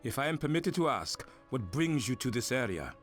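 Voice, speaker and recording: A man's voice: medium pitch, sing-song, moderate pace, faint music behind, natural voice. A man playing a solemn lone paladin. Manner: formal, solemn speech. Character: stern male paladin guarding ore alone. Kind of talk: dubbed video game dialogue.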